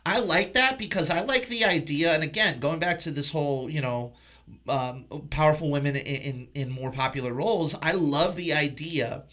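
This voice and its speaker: A man's voice: fairly high pitch, normal speed, pushed and bright. A man hosting a podcast, discussing films in an informal, emphatic way. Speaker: podcast host. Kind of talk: casual film discussion.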